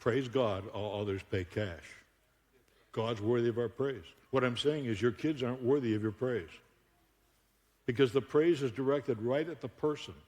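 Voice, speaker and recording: An older man from Buffalo preaches in a playful, joking way and keeps breaking into laughter. His voice sits in an ordinary middle pitch. He speaks into a stage microphone, and the sound rings with the long reverb of a large church hall. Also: moderate pace. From Buffalo, New York. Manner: joking, animated storyteller with frequent laughs. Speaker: guest speaker. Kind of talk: humorous sermon. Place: church auditorium.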